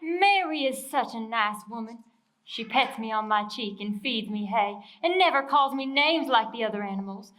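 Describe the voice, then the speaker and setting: A man's voice: very high voice, animated, moderate pace, bright, forceful tone, exaggerated voice, low-quality recording. A man voicing a wistful, aging female donkey in a children's story, plaintive and earnest. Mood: puzzled, earnest.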